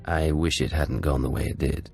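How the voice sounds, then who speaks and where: An actor playing a cool, commanding Imperial secret agent in a video game, speaking in a measured, authoritative way. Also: male voice, rumbling low, steady tone, gravelly, darkish tone, faint music behind. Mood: composed, serious.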